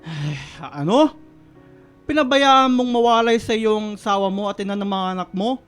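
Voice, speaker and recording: Man acting a high-pitched stern, disapproving father-in-law. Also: moderate pace, a bit bright, clean tone, faint music behind. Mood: indignant. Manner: reproachful, indignant. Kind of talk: radio drama acting.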